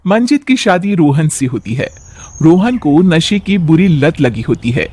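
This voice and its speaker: A man's voice: a little high, big pitch swings, a little slow, a little mellow. A man narrating a scripted moral bedtime story as a storyteller.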